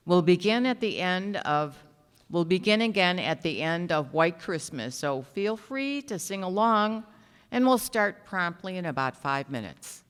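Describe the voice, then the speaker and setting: A woman's voice: fairly low pitch, a bit bright, smooth. A woman announcer giving scripted introductions for a live radio play. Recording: echoey hall, live stage pickup.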